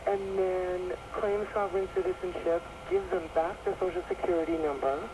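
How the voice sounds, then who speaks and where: Guy calling in to a radio show, pretty high voice, super chatty, thick shortwave static. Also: fairly even intonation, normal speed. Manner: eager, chatty, explains at length. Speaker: caller. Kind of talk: call-in radio chat. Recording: caller heard over shortwave radio with heavy static.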